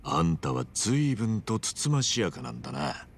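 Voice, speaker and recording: A brash but good-hearted video game hero. He talks bluntly and informally, with very lively intonation and faint ambience in the background. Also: fairly low pitch, normal speed, a little hiss or hum. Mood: frank. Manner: blunt, informal, rough-edged speech. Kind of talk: Japanese video game dub acting.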